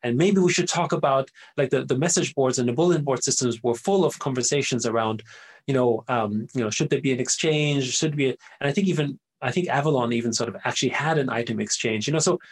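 A man's voice: medium pitch, fast talker, slightly rough. A middle-aged man from Austria, a native German speaker, talking as an interview guest in a rambling way, often tagging his sentences with "right?". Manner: rambling, tags sentences with "right?", frequent fillers and restarts. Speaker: guest entrepreneur. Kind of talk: casual interview talk.

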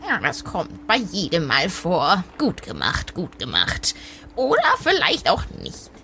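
A woman's voice: fairly low pitch, huge pitch swings, measured pace, bright, forceful tone, slight background noise. A woman improvising in character as an aunt in a tabletop role-playing game.

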